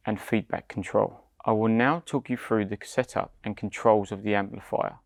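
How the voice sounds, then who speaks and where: A British man, around his forties, giving scripted step-by-step product instructions in a measured, deliberate way. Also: fairly low pitch, no echo, clean studio sound. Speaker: product demonstrator.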